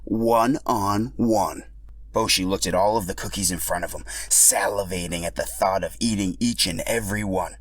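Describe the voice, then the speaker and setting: A man narrating a scripted story for a podcast in an animated, expressive way. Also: low-pitched, brisk, hardly any pauses, no room sound.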